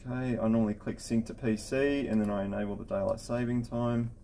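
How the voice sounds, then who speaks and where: Male tech instructor, middle-aged, dark relaxed tone, casual and practical step-by-step delivery, light background noise. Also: mid-range voice, brisk, creaky. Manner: informal, matter-of-fact instructional talk. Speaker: intercom installer giving a software setup tutorial. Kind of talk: casual step-by-step screen tutorial. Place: indoor office at a desk with computer.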